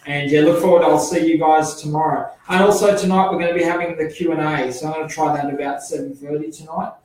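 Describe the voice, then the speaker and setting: A man with a slightly high voice talks through the upcoming race meetings, stumbling over names and correcting himself as he goes. His voice is going hoarse. He sounds distant from the mic in a very echoey space. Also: steady tone, quick pace, a little mellow. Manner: rambling, many fillers and self-corrections. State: losing his voice. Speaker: publisher and editor hosting a speedway show. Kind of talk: casual solo speedway preview.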